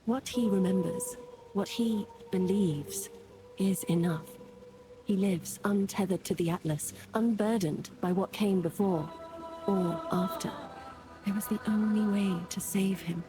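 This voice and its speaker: An actress voices a grave woman haunted by her past in a fantasy game. She speaks at a middle pitch with solemn, deliberate weight and firm resolve, with music clearly running beneath her. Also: lightly acted. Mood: solemn, reflective. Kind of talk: scripted fantasy game narration. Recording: music under the voice.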